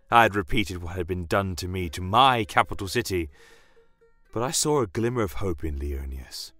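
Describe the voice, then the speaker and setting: A man narrating a fantasy story in the first person, in a measured, solemn and dramatic way. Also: deep voice, a bit bright, no echo.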